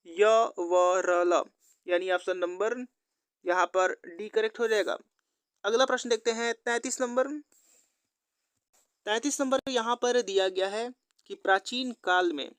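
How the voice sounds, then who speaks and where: Clear-voiced woman teaching a quiz lesson. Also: medium pitch, dry recording. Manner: instructional, methodical, repeats questions and answers. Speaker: exam-prep teacher. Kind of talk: scripted quiz lesson narration.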